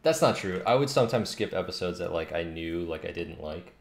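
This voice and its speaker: A man with a gravelly, very low voice talks casually to himself on a livestream. He mutters through his thoughts in a small, echoey home room. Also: lively intonation, a little fast. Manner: muttering, thinking aloud. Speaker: game developer streaming. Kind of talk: casual think-aloud livestream while coding. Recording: roomy, faint noise, keyboard clicks.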